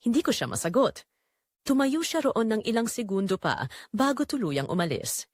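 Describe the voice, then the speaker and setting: Remorseful middle-aged mother-in-law played by a woman, audio-drama confession, widely swinging pitch, emotive pauses, dry close recording. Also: sing-song, dry recording. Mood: sorrowful, regretful. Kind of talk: dramatic first-person audio drama narration.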